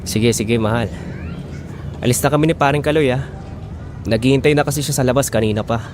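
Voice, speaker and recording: A man's voice: medium pitch, a little fast, a little hiss or hum, close to an everyday voice. A man playing an earnest, persevering husband who pleads for his family in a Filipino audio drama. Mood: casual, gentle.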